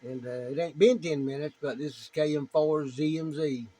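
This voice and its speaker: A man's voice: on the high side, moderate pace, dark tone, a little hiss or hum. A man with an East Tennessee accent chatting casually as a ham radio operator, in a folksy, plain-spoken way. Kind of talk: casual chat and demonstration over ham radio.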